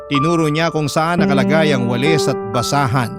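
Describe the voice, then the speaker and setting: A man's voice: on the high side, brisk, over loud music, lightly acted. A man voicing an earnest, anxious young person recounting a personal story as scripted drama narration.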